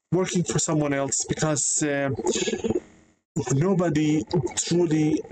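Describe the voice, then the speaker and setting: Young man, non-native English speaker, earnest vlog monologue, hesitant, slightly high voice, noisy background. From Canada. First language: not English. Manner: earnest, frequent hesitations and self-corrections. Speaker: vlogger. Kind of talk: personal monologue.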